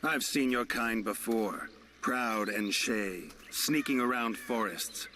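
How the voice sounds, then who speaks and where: An actor playing a stoic, blunt monster hunter in a video game, with dry, confrontational delivery. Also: male voice, medium pitch, slow pace, bright, forceful tone, slight background noise. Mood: cold, challenging.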